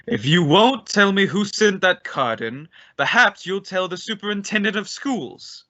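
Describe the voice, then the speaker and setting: A man performs a blustering, pompous principal in a radio comedy. The delivery is theatrical and forceful, leaping from mock-solemn to outraged. The sound is dry and slightly muffled. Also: high voice, lively intonation, a little slow, no echo, exaggerated voice. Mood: stern. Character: pompous, blustering male high school principal, easily outraged. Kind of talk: old-time radio comedy acting. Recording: dull, lo-fi audio.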